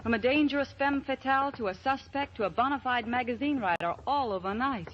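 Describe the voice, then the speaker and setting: A woman's voice: sits high, a little fast, natural voice. Actress playing a coy woman on muffled old radio. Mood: playful, flirtatious. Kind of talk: 1940s radio acting. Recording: muffled 1940s radio recording, faint noise.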